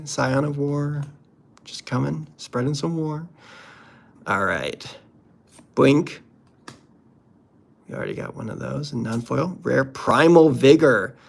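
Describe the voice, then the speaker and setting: Unhurried man chatting casually, slightly gravelly. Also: fairly high pitch. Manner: playful, jokey, exclamatory. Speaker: host opening card packs. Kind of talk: casual unboxing commentary.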